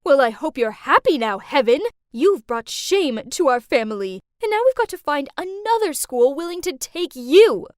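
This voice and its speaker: Woman acting loud, scolding strict mother. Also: high-pitched, very animated, moderate pace, hardly any pauses, no room sound, clean studio sound. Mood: furious, reproachful. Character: angry strict scolding mother of a teenage girl.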